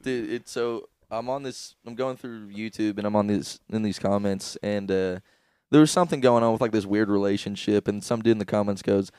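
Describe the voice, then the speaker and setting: A man's voice: lowish voice, steady tone, brisk, hardly any pauses, darkish tone, clear, resonant tone, dry recording, clean studio sound. A young man co-hosting a comedy podcast, laughing often and doing game-show voice bits. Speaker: podcast co-host. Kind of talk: crude comedic podcast banter. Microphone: studio podcast microphone.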